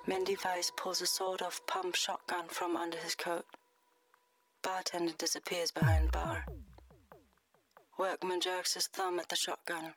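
A man's voice: sits high, completely flat delivery, a little slow, full character voice. A male actor playing a grim narrator of a gangland killing, speaking in terse, incantatory phrases in a radio drama. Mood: grim, detached. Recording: voice under very loud music. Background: very loud music.

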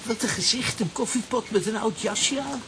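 An actress playing a small talking coffee pot, sweet but fussy and chiding, in a children's audio drama. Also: female voice, on the high side, normal speed, fairly bright tone, slight background noise. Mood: whining. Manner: chiding, affectionate, exclamatory.

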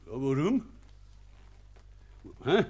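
An actor playing a stubborn village farmer in a radio play, goading and defiant in Bavarian dialect. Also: male voice, mid-range voice, big pitch swings, drawn-out words, darkish tone, husky, exaggerated voice. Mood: dismissive, needling. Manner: taunting, defiant.

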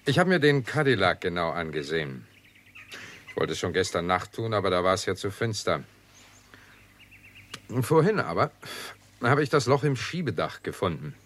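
An actor playing a shrewd, bossy investigator with an emphatic, wry manner in a 1960s German radio crime drama. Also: male voice, sits low, big pitch swings, moderate pace, pauses often, a bit bright. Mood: brisk, confident.

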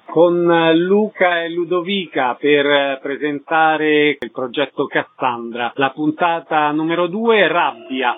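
A man's voice: on the high side, slow pace, hardly any pauses, soft background music. A man hosting a radio interview, introducing and asking questions. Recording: narrow, tinny phone-like sound.

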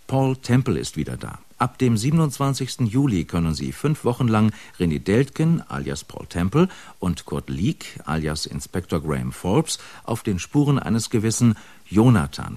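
Man, radio announcer, very low voice, lively delivery, dry studio sound. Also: no room sound. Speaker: radio announcer presenting upcoming crime dramas. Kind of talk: scripted program trailer narration.

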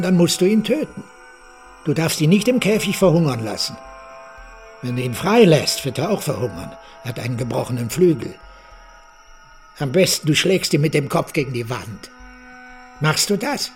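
A man's voice: on the high side, animated, moderate pace, pauses often, soft background music, close to an everyday voice. An actor playing a simple, childlike older man, plaintive and naive, with a plain, direct way of speaking in a radio drama. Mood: earnest, pleading.

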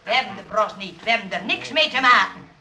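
A woman's voice: mid-range voice, animated, a little fast, pushed and bright. A woman playing a flustered, protective and easily indignant rest-home matron in a Dutch radio comedy, stammering and emphatic. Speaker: actor in a radio play.

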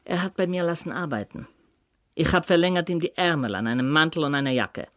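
A poor tailor in his late thirties in a radio play, guarded and defensive. His German has a Yiddish colour and turned-around word order. The pitch moves widely and the sound is dry. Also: animated, a little fast, fairly bright tone, dry recording. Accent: Yiddish-influenced German. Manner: terse, inverted word order. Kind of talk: German radio crime drama.

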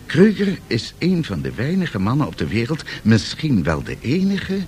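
Eager excitable finance man, swoopy intonation, bit hesitant, seventies radio drama. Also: medium pitch, normal speed, slight background noise. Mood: emphatic. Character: excitable, impressed male financier citing press clippings. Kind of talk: 1970s Dutch radio drama acting.

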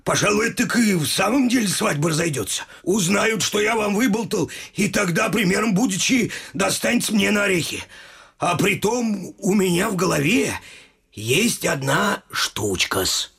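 A chatty, simple servant fellow in a theatrical radio play. His delivery swings up and down, with a gravelly creak and a bright, forward edge. He is eager, deferential and folksy. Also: high voice, animated, moderate pace, heavily acted. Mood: coaxing, worried. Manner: folksy, deferential, repeats a pet filler phrase. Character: talkative, simple-minded male manservant, eager and gossipy. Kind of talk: theatrical radio comedy acting with some singing.

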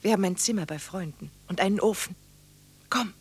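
An actress playing a hopeful, earnest young wife after the war, speaking quietly in a radio drama. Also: female voice, medium pitch, a little fast, husky, soft background music.

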